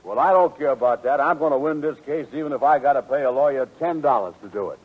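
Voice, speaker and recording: An actor playing a gullible, indignant dupe in a 1940s radio comedy, talking in a folksy, ungrammatical way. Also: male voice, mid-range voice, fast, natural voice. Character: gullible, indignant male dupe, plain-spoken. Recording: tinny, narrow 1940s radio recording.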